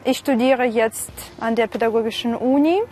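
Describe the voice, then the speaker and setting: A woman's voice: on the high side, steady tone, normal speed, darkish tone, a little hiss or hum. A woman in her twenties with a Russian accent, introducing herself. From Siberia, Russia. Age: 20s. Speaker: student contestant. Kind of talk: casual self-introduction. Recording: music bed underneath.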